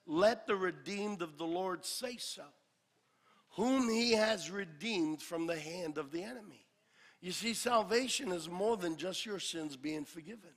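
A male pastor preaching a sermon, building to shouted peaks and dropping into joking asides. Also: high-pitched. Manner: builds to shouted climaxes, then drops to a jokey aside. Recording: long hall reverb.

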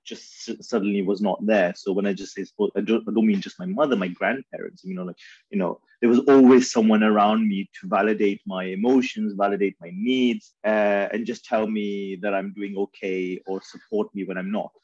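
A man from Pakistan, in his thirties, talking through his memories as an interview guest, thoughtful and halting with many fillers and restarts. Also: on the low side, steady tone, a little mellow, slightly rough, dry recording, muffled recording. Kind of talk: reflective conversational storytelling.

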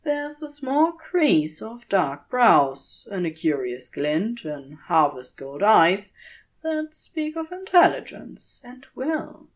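A woman reading a scripted story aloud with an expressive, unhurried storytelling delivery. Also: medium pitch. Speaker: audiobook-style reader of fan fiction.

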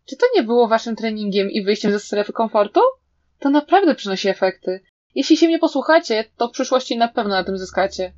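A woman's voice: a little high, lively intonation, brisk, a little mellow, close to an everyday voice. Woman reading as a playful, brash man, muffled recording. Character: Wei Wuxian, playful, teasing, brash male cultivator.

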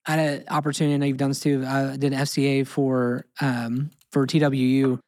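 A man's voice: medium pitch, flat delivery, a little fast, a little mellow. An American man co-hosting a casual Bible discussion, speaking earnestly and emphatically, often repeating phrases for weight. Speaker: podcast co-host and Bible teacher.

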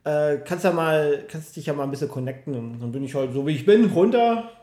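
Higher-voiced man in an echoey room. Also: animated, fairly quick, dark tone. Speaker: podcast guest. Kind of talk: casual chat, personal storytelling. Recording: roomy, echoing room.

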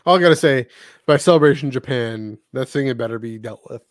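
A man co-hosting a podcast in casual chat, with a halting, jokey delivery. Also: mid-range voice, dry recording, clean studio sound. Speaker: podcast co-host.

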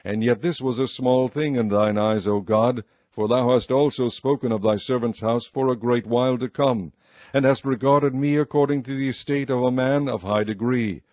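A man reads scripture aloud at a slow, solemn, measured pace. His voice sits in a middle pitch. The recording is muffled and dry, with no room around it. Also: a little mellow, dry recording. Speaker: Bible reader. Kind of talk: scripture reading.

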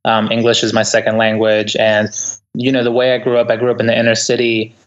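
A man from Ecuador whose first language is Spanish, speaking as a guest author in a thoughtful, earnest interview with many soft fillers. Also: on the low side, monotone, fast, highs cut off. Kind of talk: reflective interview conversation. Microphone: remote interview over the internet.

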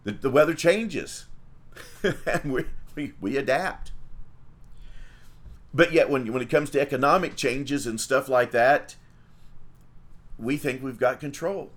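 A man's voice: mid-range voice, takes it slowly, pauses often, a bit bright. A man, a priest leading livestreamed morning prayer and chatting in a folksy way with viewers.

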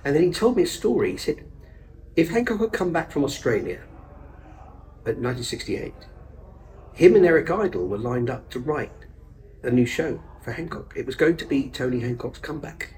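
A man's voice: medium pitch, lively intonation, moderate pace, darkish tone. A man, a stage actor and director, talking in a casual, enthusiastic, rambling way as a podcast guest. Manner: enthusiastic, rambling, self-interrupting with fillers. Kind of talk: casual conversational interview.

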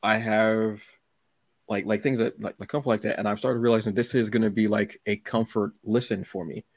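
Guy podcast host, kinda low voice, dry close sound, chatty, restarts his sentences a lot. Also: steady tone, fairly quick, dry recording. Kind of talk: casual conversational interview.

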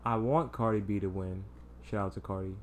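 A young man co-hosting a podcast in casual chat, low-key but firm when he defends his team. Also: steady tone, fairly quick, over a noisy crowd. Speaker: podcast co-host.

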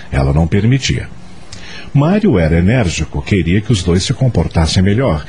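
Low-pitched male radio drama narrator. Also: warm tone, a little hiss or hum.

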